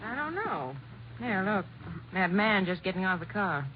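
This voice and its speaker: Lady playing a caring loyal nurse, super animated, vintage radio acting, dull old recording. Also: mid-range voice, moderate pace, slight background noise, natural voice. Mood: puzzled, anxious. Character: loyal, caring doctor's office nurse, curious and anxious. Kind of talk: 1950s radio drama acting.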